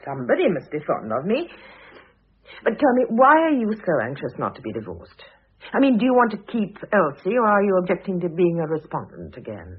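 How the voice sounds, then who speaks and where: An actress playing a shrewd, wryly amused middle-aged divorced mother in a radio drama, poised and dryly teasing, with a husky voice. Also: fairly low pitch, huge pitch swings, fairly quick, exaggerated voice. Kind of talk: old radio drama acting. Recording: tinny, narrow old radio recording.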